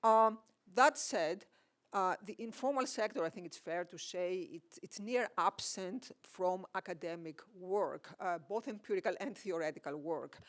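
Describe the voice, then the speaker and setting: A man's voice: high-pitched, measured pace, fairly bright tone. Greek-accented man giving a keynote. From Greece. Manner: hesitant, many fillers and restarts. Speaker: keynote speaker, economist. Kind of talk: academic conference keynote. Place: conference hall.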